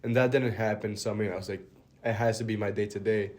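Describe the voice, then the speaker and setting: Low, flat-voiced young man. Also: fast. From Dominican Republic. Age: young adult. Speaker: college baseball pitcher, guest. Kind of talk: casual interview answers.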